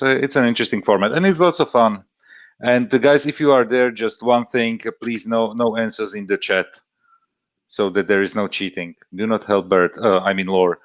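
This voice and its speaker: A man from Slovakia speaks English with a Slovak accent, halting and restarting his sentences. His voice sits fairly low. The sound comes through a dull, lo-fi mic. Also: fairly bright tone, dry recording. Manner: halting, many fillers and restarts. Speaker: panel guest. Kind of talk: casual chat.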